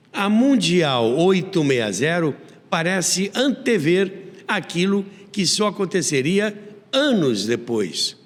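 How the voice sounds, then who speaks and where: A man's voice: fairly high pitch, in a large hall. A Brazilian man hosting and narrating a scripted documentary about radio. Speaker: host and narrator of a radio history series.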